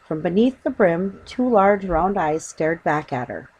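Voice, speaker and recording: A woman's voice: fairly low pitch, expressive, measured pace, darkish tone, breathy edge, rough recording. A woman reading a children's story aloud as scripted audiobook narration.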